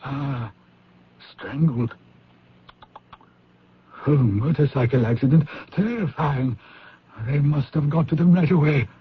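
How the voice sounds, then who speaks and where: A flustered official talks slowly and haltingly in a slightly high voice. He mutters and sighs in dismay, in old-time radio drama style on a dull, hissy recording. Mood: shaken, dismayed. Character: flustered, dismayed male intelligence official reporting to a superior.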